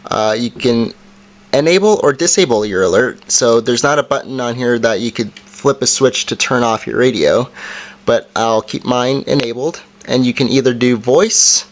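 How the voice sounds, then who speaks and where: Man casually walking through a gadget. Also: medium pitch. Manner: plain, informal step-by-step explaining. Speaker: amateur video reviewer. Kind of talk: casual product review and demonstration.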